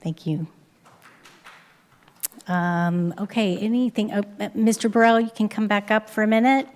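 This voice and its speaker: A woman's voice: mid-range voice, fairly even intonation, normal speed, darkish tone. A woman chairing a city council hearing who calls speakers up in a friendly, informal way and sometimes stumbles over her words. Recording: reverberant council chamber.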